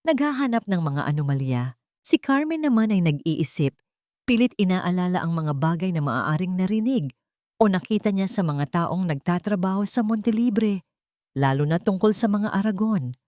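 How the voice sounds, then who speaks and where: Woman narrating, lowish voice, dry recording. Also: lively intonation, moderate pace, darkish tone, no room sound. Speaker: story narrator. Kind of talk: scripted dramatic narration of a romance story.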